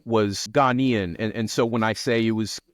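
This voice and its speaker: A man's voice: fairly low pitch, steady tone, normal speed. An American man in his late twenties from Seattle, talking about himself reflectively as a guest in a conversational interview. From Seattle, United States. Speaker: interview guest, journalist and podcaster. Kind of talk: conversational interview, personal account.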